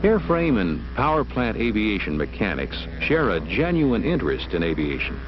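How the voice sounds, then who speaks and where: Deep, expressive male documentary narrator. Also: normal speed, noisy background. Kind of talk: scripted educational film narration. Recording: muffled old film soundtrack with music. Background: music.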